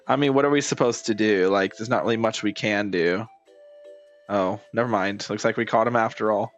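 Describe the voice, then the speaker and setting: A man's voice: lowish voice, brisk, highs cut off, soft background music. A man giving casual gameplay commentary as a streamer, slangy and often repeating his phrases. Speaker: game streamer.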